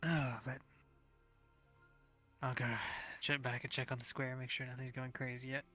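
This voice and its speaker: A man's voice: mid-range voice, little pitch movement, normal speed, sharp tone, faint music behind, close to an everyday voice. A man playing a weary, haggard townsman in an improvised VR roleplay, talking in a casual, tentative way. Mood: tired. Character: weary, haggard, unassuming townsman called William. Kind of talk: improvised in-character roleplay in a social VR game.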